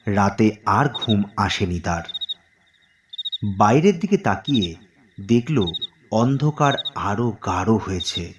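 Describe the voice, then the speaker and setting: A man narrating a scripted horror story dramatically, in deliberate, suspenseful phrases with pauses. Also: fairly low pitch, dull audio, noisy background.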